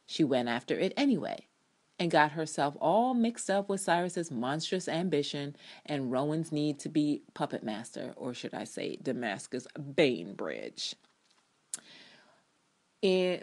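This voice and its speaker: A woman's voice: low-pitched, darkish tone. A woman reviewer giving an opinionated, sardonic and theatrical reading of her own written commentary, with casual asides. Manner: emphatic, theatrical delivery. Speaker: TV drama reviewer.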